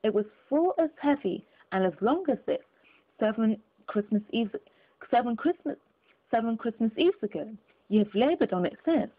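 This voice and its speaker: A woman's voice: fairly high pitch, lively intonation, normal speed. A woman voicing the stern, mournful ghost of a dead man in a storybook, speaking in solemn, formal pronouncements. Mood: ominous. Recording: thin, phone-like lo-fi audio.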